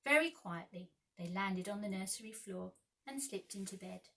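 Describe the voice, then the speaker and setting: A woman narrating a children's story expressively, with dramatic, measured phrasing. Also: mid-range voice, vocal fry, fairly bright tone. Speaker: storyteller, school staff reader.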